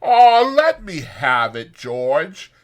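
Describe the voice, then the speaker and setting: A man's voice: fairly high pitch, big pitch swings, very slow, pitched above the performer's own voice, heavily acted. A man voicing a simple-minded, eager, forgetful working man, hesitant, repetitive and naive, in a dramatized audiobook. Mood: sheepish.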